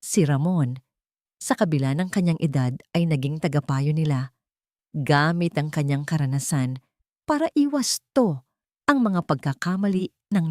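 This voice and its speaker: A woman's voice: low-pitched, a little mellow, dry recording, clean studio sound. A woman reading scripted dramatic story narration in an earnest, sentimental manner. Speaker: narrator and host of a storytelling channel.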